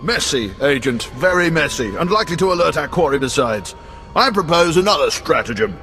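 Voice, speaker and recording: An actor playing a calculating male spymaster who gives a measured, dry briefing in a game cutscene. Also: fairly high pitch, expressive, bright, forceful tone, soft background music. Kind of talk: video game cutscene acting.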